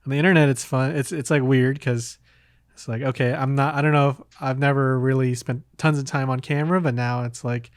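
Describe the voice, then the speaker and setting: A man's voice: mid-range voice, fairly even intonation, fairly quick, no room sound, studio quality. A man hosting a casual podcast, talking in a hesitant way as if thinking aloud. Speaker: podcast host.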